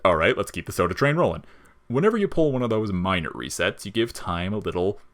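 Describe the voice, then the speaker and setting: A man's voice: sits low, big pitch swings, quick pace. A man voicing a wry, world-weary skeleton monster who talks in sardonic slang in an audio story, jolly on top and bitter underneath. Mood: casual, explaining. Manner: slangy, sardonic, explaining things casually.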